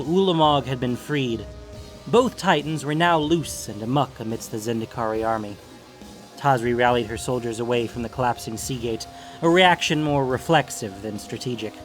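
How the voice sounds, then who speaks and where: A man narrating scripted fantasy fiction in a grave, deliberate way with theatrical emphasis. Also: mid-range voice.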